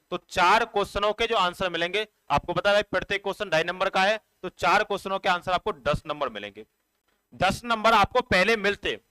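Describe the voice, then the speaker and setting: A man's voice: high-pitched, pushed and bright, clean tone, no echo, low-quality recording. A man giving an informal, explanatory exam update as a coaching teacher, addressing students directly in a repetitive, instructive way.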